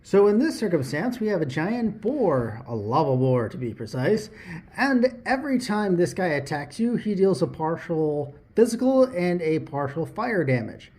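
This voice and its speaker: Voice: mid-range voice, big pitch swings, normal speed, darkish tone. A presenter giving an instructional explainer, talking directly to the listener and posing rhetorical questions. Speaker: tabletop RPG lesson host.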